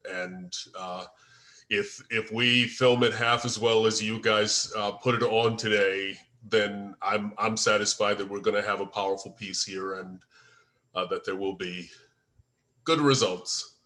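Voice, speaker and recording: A man's voice: lowish voice, fairly even intonation, measured pace, pushed and bright. An American man who speaks hesitantly in an informal video-call discussion, often searching for his words as he talks about his work as a writer. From United States. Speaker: screenwriter and first-time filmmaker. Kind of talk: informal discussion after a table read. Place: online group video call.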